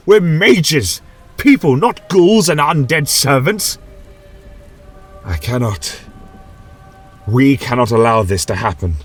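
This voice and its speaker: A man's voice: mid-range voice, animated, slow pace, pauses often, soft background music, pitched above the performer's own voice. A man playing an earnest, principled mage mentor who speaks in theatrical, pleading oratory in an audio fantasy tale. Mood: exasperated pleading.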